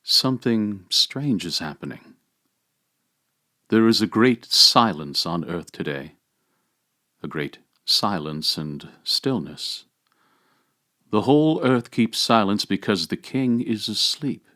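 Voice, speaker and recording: A man's voice: on the low side, very animated, slow pace, long pauses, pushed and bright. A man reading an ancient religious homily aloud in a solemn, theatrical way with emphatic phrasing. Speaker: lay reader of liturgical texts.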